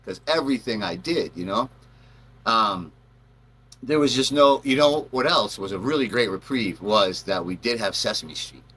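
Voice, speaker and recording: A man with a fairly low voice and a bright, forceful edge. He tells personal stories in a casual, rambling style, slipping into animated retellings of what people said. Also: normal speed, low-quality recording. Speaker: storyteller, channel host.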